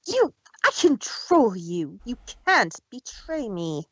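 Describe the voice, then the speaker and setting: A woman's voice: fairly high pitch, big pitch swings, slow pace, fairly bright tone, breathy edge, no echo, heavily acted. A woman voicing a deranged, menacing villainous mare who refuses to lose, in a fan fiction dramatic reading. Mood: controlling, angry. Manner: menacing, defiant, unhinged.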